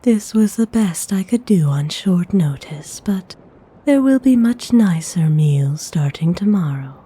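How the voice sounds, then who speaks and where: A woman voicing a gentle, courteous vampire noblewoman in an ASMR roleplay, whispering with breathy, husky, tender formality. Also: on the low side, lively intonation. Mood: reassuring, considerate. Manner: slow, hushed, tenderly formal.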